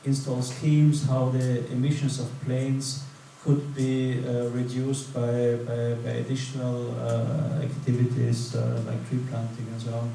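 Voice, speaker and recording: A man's voice: mid-range voice, completely flat delivery, measured pace, creaky, mellow. A man, answering as a panelist with many restarts and fillers. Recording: echoey hall, distant mic, faint noise.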